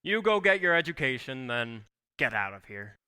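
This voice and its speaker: A man acts a weary, kindly older father in a vintage radio drama. The delivery is measured and reflective, with a crisp, resonant tone and a bright edge, taped live on stage. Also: mid-range voice, big pitch swings. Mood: earnest, resigned. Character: tired, gentle, idealistic small-town father. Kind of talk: old-time radio play acting on stage.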